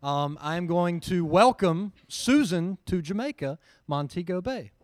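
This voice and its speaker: A man's voice: high-pitched, animated, measured pace, no echo. A man giving an earnest personal testimony to a church audience, with plenty of ums along the way. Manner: earnest, storytelling, with frequent fillers. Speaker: mission team member giving a report.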